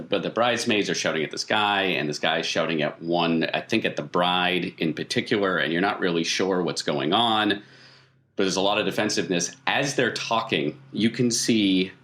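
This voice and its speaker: An American man from small-town Maine improvising tabletop game narration as game master, building each scene in halting steps. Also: low voice, fairly bright tone.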